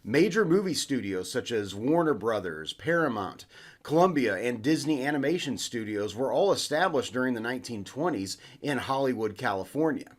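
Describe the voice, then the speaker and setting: A man's voice: medium pitch, animated, normal speed. A man with an American accent narrating a scripted educational lesson in an emphatic explainer style. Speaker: host of educational history videos.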